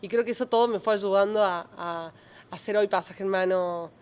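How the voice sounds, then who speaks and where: A woman in her thirties from Córdoba, Argentina, with a Cordoban accent, telling her story as a podcast guest. She talks in an animated way, full of fillers and restarts. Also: medium pitch, brisk, slight background noise. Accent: Cordoban Argentine Spanish. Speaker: journalist and travel creator guest. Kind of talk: podcast interview.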